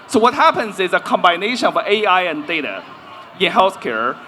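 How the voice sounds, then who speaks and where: Bright-voiced man explaining on a panel. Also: high-pitched, a little hiss or hum. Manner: explanatory, peppers talk with "right?". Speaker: panelist, AI in health researcher. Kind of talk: live panel discussion. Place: stage at a festival panel.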